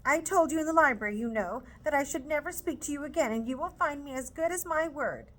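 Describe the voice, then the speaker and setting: A woman reading aloud, voicing a fretful, complaining middle-aged mother in high, dramatic tones. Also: expressive, fast talker, a bit bright. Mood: scolding.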